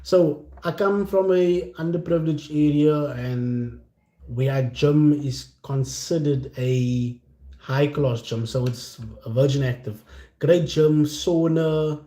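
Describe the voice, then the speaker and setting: A man from Cape Town with a Cape Flats accent, chatting casually and candidly to his livestream viewers in slangy, unscripted talk. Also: fairly high pitch, slow. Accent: Cape Flats South African. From Cape Town, South Africa. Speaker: content creator hosting a chat livestream. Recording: roomy, echoing sound.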